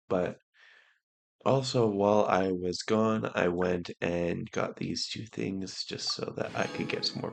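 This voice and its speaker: A man hosting casual let's-play commentary, hoarse from illness, laid-back and clearing his throat often. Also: fairly low pitch, slight background noise. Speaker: gameplay host.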